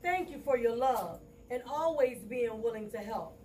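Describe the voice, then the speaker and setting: A woman's voice: a little high, lively intonation, moderate pace, dark tone, far from the mic, a little hiss or hum. A woman performing spoken word poetry live, in a rhythmic, rhyming recitation.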